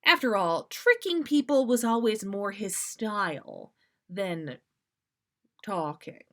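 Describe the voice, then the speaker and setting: A woman's voice: mid-range voice, animated, takes it slowly. A woman reading a story aloud expressively as the host of a story session. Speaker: podcast host reading listener fan fiction.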